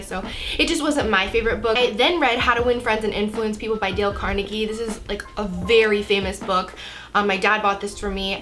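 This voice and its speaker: A woman's voice: mid-range voice, fairly bright tone. Young American woman with a cold, music behind. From Florida, United States. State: has a cold. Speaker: book vlogger. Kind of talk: casual chat to camera.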